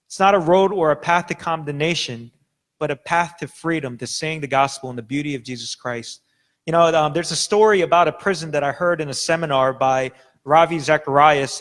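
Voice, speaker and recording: A young adult man preaching a sermon as a pastor, talking casually with fillers and restarts. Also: fairly high pitch. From United States. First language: Korean. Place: church sanctuary.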